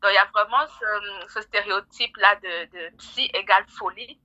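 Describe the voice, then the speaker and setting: A woman's voice: medium pitch, steady tone, moderate pace, pushed and bright, no room sound, highs cut off. A middle-aged woman, explaining things conversationally as a psychologist guest in an interview. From Cameroon.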